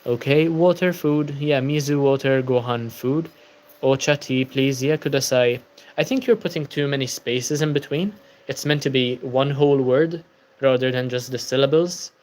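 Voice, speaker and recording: A man's voice: medium pitch, moderate pace, darkish tone, poor audio. Tired young guy on a livestream, chatty, answering comments, sounds worn out. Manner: tired, chatty, reads viewer comments aloud. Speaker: livestreaming book channel host. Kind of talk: casual livestream chat.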